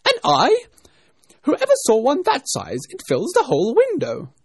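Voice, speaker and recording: Storybook rabbit: male, bossy, irate, shrill and loud, barking orders. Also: wildly swooping pitch, unhurried, dry recording, clean studio sound, full character voice. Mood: angry, bossy. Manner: imperious, scolding shouts.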